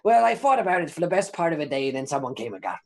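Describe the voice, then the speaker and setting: Cheeky rambling prisoner informant, played by a man, stammering, folksy, slightly high. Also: very animated, rapid-fire, gravelly. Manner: rambling, stammering, old-timey folksy phrasing. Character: imprisoned male informant Tad, chatty, cheeky, rambling.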